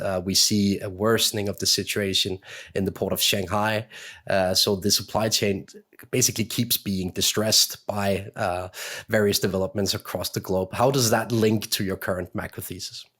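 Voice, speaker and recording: A man co-hosting a podcast, putting questions to a guest in measured, somewhat formal phrasing. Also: lowish voice, fairly bright tone. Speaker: podcast co-host, interviewer. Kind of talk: podcast interview.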